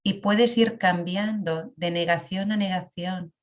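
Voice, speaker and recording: A woman leading a guided emotional meditation in a calm, reflective way. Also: on the low side. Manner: slow, calm, reflective guidance with long pauses.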